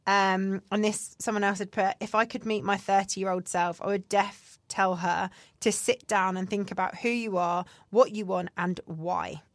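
A woman's voice: medium pitch, steady tone, moderate pace, dry recording. A woman in her thirties, chatting candidly as a podcast co-host with lots of fillers. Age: 30s. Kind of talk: casual chat.

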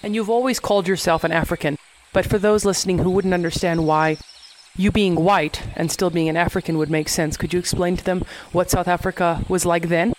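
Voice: mid-range voice, fairly even intonation, brisk, a little hiss or hum. A host introducing a guest and asking questions in an interview.

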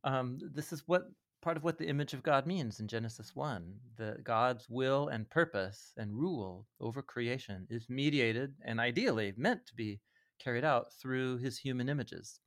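A man's voice: medium pitch, moderate pace. A man talking as a podcast guest and Bible teacher, explaining things earnestly in a conversational way with frequent small chuckles.

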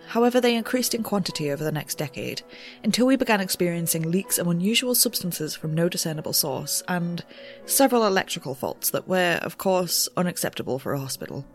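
An actress playing an eager, nervy young amateur ghost researcher, talking in wry, rambling audio notes for an audio drama. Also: female voice, lowish voice, brisk, soft background music. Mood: formal read-out. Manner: rambling, wry, animated asides.

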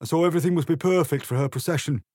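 A man voicing hurried, flustered playing-card gardeners. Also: on the high side, darkish tone, no echo, clean studio sound, pitched above the performer's own voice, close to an everyday voice. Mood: anxious.

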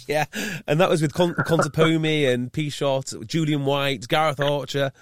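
A young man from North Wales hosting an interview, chatty and quick to stumble over his words, his pitch jumping up when he gets going. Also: no echo, studio quality. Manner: chatty, stumbles and restarts, lively rises in pitch. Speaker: podcast host. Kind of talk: casual interview chat.

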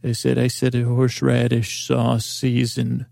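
A man hosting a podcast, meandering through a soft, self-deprecating bedtime monologue full of fillers. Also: medium pitch, monotone, unhurried, vocal fry, dry recording, clean studio sound. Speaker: podcast host.

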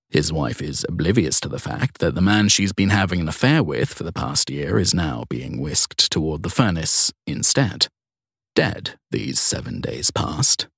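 A man narrates first-person crime fiction in a low voice. His intonation moves widely as he tells the story in measured phrases with dramatic pauses. The sound is dry, with no room around it. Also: gravelly, fairly bright tone, husky, dry recording.

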